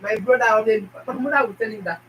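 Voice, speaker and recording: A Nigerian-accented woman talks straight to her viewers in a fast, emphatic way, often repeating herself. Her voice sits a little high. She sounds set back from the mic, with faint noise behind her. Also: distant mic, highs cut off. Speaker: YouTube channel host. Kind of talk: casual motivational talk to viewers.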